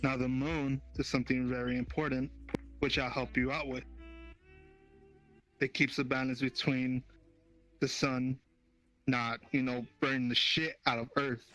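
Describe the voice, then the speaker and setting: A man's voice: mid-range voice, fairly bright tone, no room sound, natural voice, low-quality recording. A fallen angel who has pledged himself to humanity, voiced by a man in an online roleplay, speaking earnestly in an everyday middle voice. Faint music sits in the background. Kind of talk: voice-chat roleplay.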